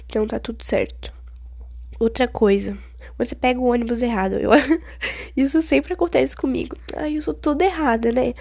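A Brazilian teenage girl chatting through her own podcast, her voice congested from a cold, rough with vocal fry and a husky edge. She rambles and complains with dramatic flair. Her tone sits a bit high yet mellow and dark. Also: moderate pace, no room sound, studio quality. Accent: Brazilian Portuguese. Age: late teens. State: stuffy-nosed with a cold. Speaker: podcast host. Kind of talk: casual solo podcast chat.

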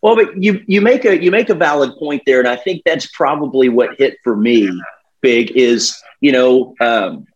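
A man's voice: medium pitch, moderate pace, lo-fi sound. A man co-hosting an interview show, encouraging his guest and often agreeing with him. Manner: encouraging, affirming with frequent agreement. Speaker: podcast co-host.

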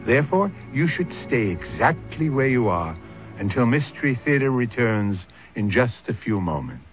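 A man hosting a radio mystery series, narrating a script with theatrical, deliberate phrasing. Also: medium pitch, big pitch swings. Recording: dull old radio recording with music. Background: music.

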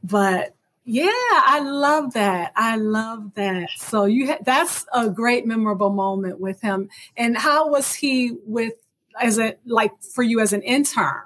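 A woman hosting a podcast interview, chatting casually and warmly affirming her guest, often repeating her words. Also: fairly high pitch, a little slow. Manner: warm, affirming, repeats phrases. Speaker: podcast host.

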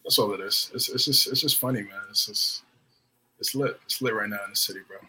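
A man co-hosting a casual sports podcast over a video call, excitable and prone to repeating himself. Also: fairly low pitch, a little fast, slight background noise, poor audio. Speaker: podcast co-host.

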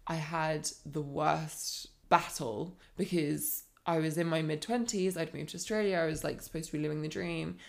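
Podcast guest, woman in her thirties, life coach: earnest and reflective, with a husky vocal fry in a low voice. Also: normal speed, few pauses. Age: 30s. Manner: earnest, reflective, animated when building a point. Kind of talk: candid personal storytelling.

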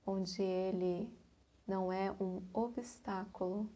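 A Brazilian woman guiding a meditation in a soft, breathy, calm voice, leaving long pauses between short phrases. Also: medium pitch, highs cut off. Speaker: meditation guide. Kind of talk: guided meditation.

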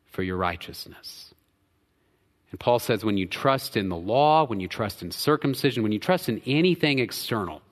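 A man from the United States preaching a sermon as pastor, swinging between loud emphatic stretches and quiet low drops. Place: church sanctuary. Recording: church hall with long reverb.

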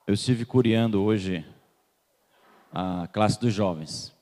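A man's voice: on the low side, slow, a little mellow. A man from Salvador, preaching a sermon in a church and putting questions to the congregation. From Salvador, Bahia, Brazil. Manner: questions the congregation and waits for replies. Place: church hall. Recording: long hall reverb.

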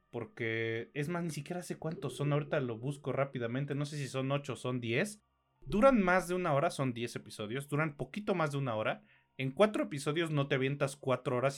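A man's voice: mid-range voice, animated, fairly quick. A Mexican man co-hosting a podcast, reviewing films and series in a blunt, casual, slangy way.

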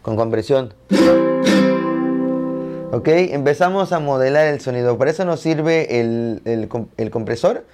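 A man talks viewers through audio effects one step at a time, speaking directly to them. Loud strummed jarana music plays alongside his voice the whole way through. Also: mid-range voice, animated, a little slow, long pauses. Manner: explanatory, addresses viewers directly. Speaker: audio demonstrator playing a jarana. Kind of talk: instructional demonstration. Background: plucked jarana strumming.

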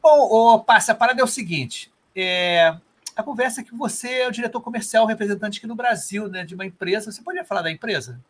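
Husky-voiced male podcast host. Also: high-pitched, fast, a bit bright. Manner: friendly, encouraging, uses fillers like "né". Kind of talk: casual interview chat.

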